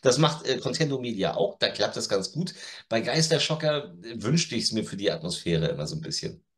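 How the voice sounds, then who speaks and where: Low-pitched, blunt middle-aged man. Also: expressive, brisk. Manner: opinionated, blunt. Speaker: audio drama reviewer, guest. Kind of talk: casual review chat. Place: home room with media shelves. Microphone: video call.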